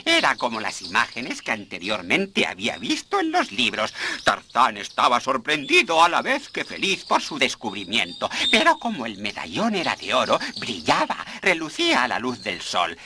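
Highly animated male cartoon narrator. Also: moderate pace, slight background noise. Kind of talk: scripted cartoon storybook narration.

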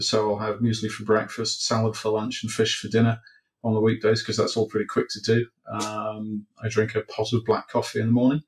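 A man's voice: lowish voice, rough recording. A middle-aged man from Wales answering interview questions on a video call from his home office, hesitant, with many ums and restarts. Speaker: interview guest, developer advocate. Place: home office with bookshelves, indoors. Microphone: video call webcam.

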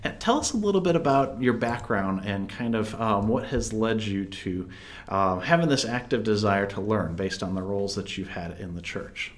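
A man's voice: fairly low pitch, animated, moderate pace. A man in his thirties hosting a video interview, asking questions in a friendly, easy way, heard through an earbud headset on a video call. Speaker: interview host.

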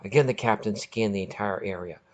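A man's voice: on the low side, fairly quick. A man hosting and narrating a scripted short story podcast in a dramatic, emphatic way.

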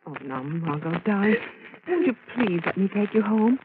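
A woman's voice: medium pitch, lively intonation, normal speed, natural voice. An actress playing a solicitous society woman, a chatty friend, in a 1930s radio drama. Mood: concerned, brisk. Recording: tinny, noisy 1930s radio recording.

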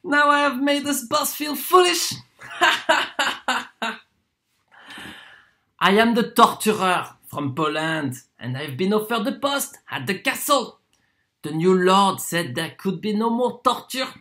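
Slow, theatrical wandering torturer acted out in monologue. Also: medium pitch, lively intonation, a bit bright. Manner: theatrical, deliberate, with dramatic pauses and swings. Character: proud wandering torturer from Poland, wheedling then contemptuous.

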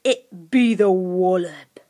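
A woman's voice: fairly low pitch, takes it slowly, mellow, voice pushed down. A woman voicing a grumpy, bossy male monster with menacing broken-grammar speech in a storybook. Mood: dark, sinister.

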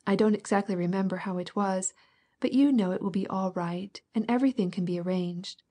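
A woman voicing a lively, affectionate young girl who soothes and reassures in an eager way. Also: mid-range voice, normal speed, husky, natural voice. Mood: comforting.

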